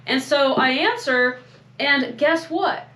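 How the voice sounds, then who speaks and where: A young woman co-hosting a casual chat, self-mocking and quick to laugh. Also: sits high, pushed and bright, roomy sound. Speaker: co-host.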